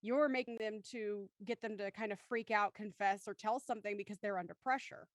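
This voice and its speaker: A woman's voice: mid-range voice, steady tone, fairly quick, dry recording, clean studio sound. A woman in a casual group discussion, offering speculative theories and firm opinions. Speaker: cast member discussing an audio drama.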